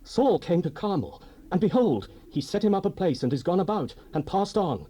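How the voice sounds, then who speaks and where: Casting: scripture-drama messenger, muted husky tone, very animated declamatory delivery. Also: male voice, high-pitched, normal speed. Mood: urgent report. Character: messenger reporting to a prophet.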